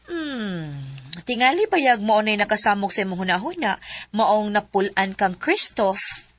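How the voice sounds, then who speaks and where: A woman's voice: medium pitch, lively intonation, a little slow, close to an everyday voice. An actress playing a teasing female coworker friend in a radio drama. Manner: teasing, scolding.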